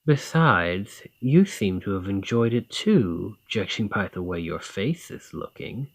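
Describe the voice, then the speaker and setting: A man acting a commanding, low-voiced fantasy warrior lord. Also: very animated, measured pace, dry recording, heavily acted. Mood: amused, impressed. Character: dominant Nordic fantasy thane, teasing, confident warrior lord. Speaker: roleplay audio performer. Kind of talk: ASMR fantasy roleplay acting, addressing the listener.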